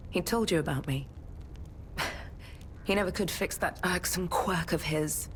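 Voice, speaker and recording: A wistful, guarded woman in a video game drama. Her voice is a little low and soft-spoken. She takes her time, leaving measured pauses between phrases. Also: a little hiss or hum.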